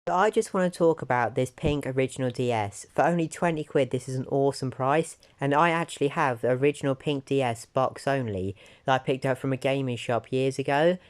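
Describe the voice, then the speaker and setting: A man talks through a game-hunting vlog in a mid-range voice that sounds croaky from a sore throat. He is chatty and keen, and he often doubles his words for emphasis. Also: medium pitch, fairly quick, few pauses. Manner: chatty and enthusiastic, repeats "really, really". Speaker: retro game collector vlogger. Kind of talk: casual vlog narration.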